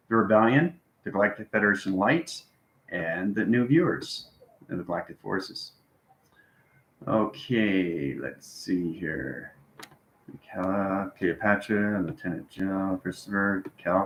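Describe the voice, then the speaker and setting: A man's voice: low voice, fairly bright tone, reverberant, low-quality recording. A man hosting a live stream, giving a rambling spiritual explanation in an instructional way, often checking in with short tags. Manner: instructional, frequent check-in tags like okay and right. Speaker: host answering live viewers.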